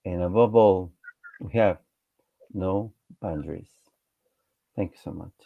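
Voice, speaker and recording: A man from Chile reciting his poem slowly and earnestly as a guest poet. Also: sits low, creaky. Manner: slow, earnest reading with pauses. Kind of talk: poetry recitation. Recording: dull lo-fi online call audio.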